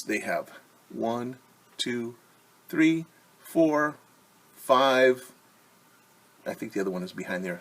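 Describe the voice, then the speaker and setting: A man teaching young children, reading a picture book aloud and gently asking them questions. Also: medium pitch, breathy edge. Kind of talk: children's story time read-aloud.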